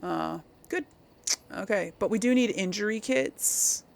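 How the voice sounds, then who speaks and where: A woman's voice: medium pitch, expressive, measured pace, vocal fry. A woman giving casual playthrough commentary as host of a gaming video, chatty and thinking aloud.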